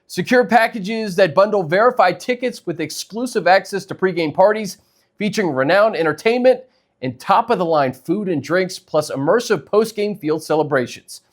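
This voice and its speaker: A man's voice: sits high, expressive, normal speed. A man announcing an advertisement in a scripted commercial read.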